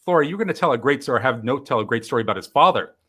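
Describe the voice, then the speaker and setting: A man hosting a live stream interview, upbeat and quick to laugh. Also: medium pitch, animated, a bit bright, slightly rough. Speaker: host.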